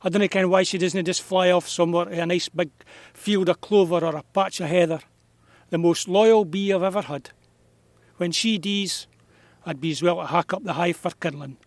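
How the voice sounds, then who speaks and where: An actor playing a stubborn, wistful old beekeeper with a Scottish accent and a folksy, hesitant way of talking in an audio drama. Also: male voice, high voice, steady tone, moderate pace, dry recording. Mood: forlorn. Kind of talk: naturalistic audio drama dialogue.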